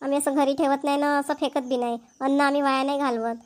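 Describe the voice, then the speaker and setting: Homely village housewife played by an actress, notably high voice, rural Varhadi accent, talkative and folksy, for a cartoon family comedy. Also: female voice, level tone, fast talker, natural voice. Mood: chatty, explaining. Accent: rural Maharashtrian, Varhadi.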